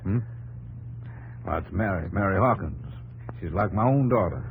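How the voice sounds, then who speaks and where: A seasoned, fatherly desert rancher. The voice sits low and the manner is folksy and sure of itself. It comes from an old radio broadcast with faint noise. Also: animated, measured pace, narrow, tinny audio. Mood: plainspoken. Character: seasoned older male rancher, fatherly, self-assured desert settler. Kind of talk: 1950s radio acting.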